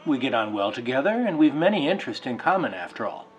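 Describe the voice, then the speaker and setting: A man's voice: medium pitch, sing-song, fast, soft background music, natural voice, low-quality recording. A man playing a fussy, practical bachelor suitor with an insistent, matter-of-fact way of talking in a drama reading.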